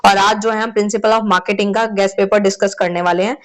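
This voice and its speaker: A woman's voice: medium pitch, steady tone, normal speed. Lady teacher, bit of a sore throat, chatty, keeps checking in with students. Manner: chatty, repeats "theek hai" often. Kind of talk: exam-prep lecture.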